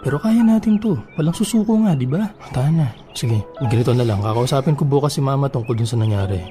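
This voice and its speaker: An actor playing a patient, apologetic boyfriend in a Filipino radio drama, speaking gently and reassuringly. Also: male voice, medium pitch, animated, fast talker, close to an everyday voice. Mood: soothing, apologetic. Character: soothing adult live-in boyfriend, patient and apologetic. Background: background music.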